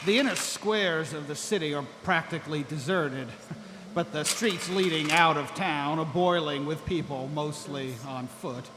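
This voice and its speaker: A man acts a sober scientist briefing colleagues in an audio drama, measured and clinical. His fairly high voice carries through a large hall, with clear noise behind it. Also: close to an everyday voice. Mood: clinical, grave. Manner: measured, lecturing. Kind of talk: live audio drama acting.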